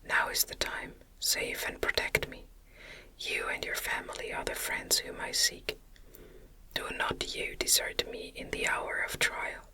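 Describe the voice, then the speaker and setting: A woman's voice: measured pace, natural voice, rough recording. A woman voicing a lonely, anguished male monster, pleading and eloquent, in a whispered ASMR audiobook reading. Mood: desperate pleading. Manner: formal, earnest, literary phrasing.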